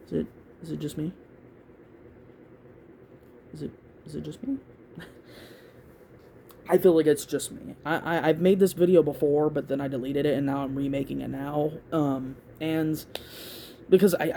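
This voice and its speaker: Casual vlogger, androgynous, soft mellow tone, halting and repetitive, some background noise. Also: medium pitch. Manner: hesitant, repeats words, thinking aloud. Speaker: YouTube video creator giving opinions. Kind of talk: casual rambling opinion talk to camera.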